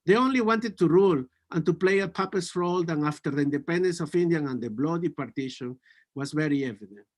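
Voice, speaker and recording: A man's voice: on the high side, fast talker. A man in his forties from Peru, a native Spanish speaker with a Peruvian accent, giving a prepared conference talk in an earnest way, often restarting and correcting his words. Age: 40s.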